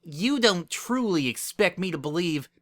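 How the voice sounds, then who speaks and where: A man's voice: high voice, expressive, unhurried, a bit bright, voice pushed up. A man voicing a haughty, scornful young female pony with a pompous, sniffy manner in a dramatic story reading. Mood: indignant.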